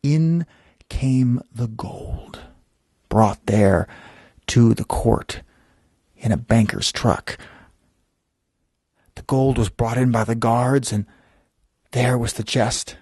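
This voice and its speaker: A man's voice: medium pitch, takes it slowly, pauses often, a little mellow, husky, no echo. Male bedtime storyteller, dry close sound. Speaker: storyteller and channel host.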